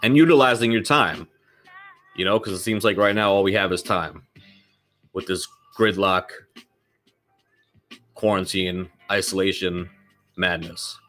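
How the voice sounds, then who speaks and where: A man's voice: low voice, measured pace, long pauses, a bit bright, faint music behind. A man hosting his own podcast in loose, rambling casual talk, swearing freely and sounding slightly nasal from a lingering cold. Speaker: podcast host.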